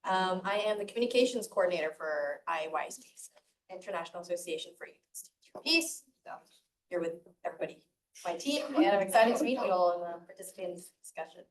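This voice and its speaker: A woman from the United States, a communications coordinator, introducing herself in a friendly, relaxed way. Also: on the low side, fast talker, distant mic, low-quality recording. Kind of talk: panel self-introduction.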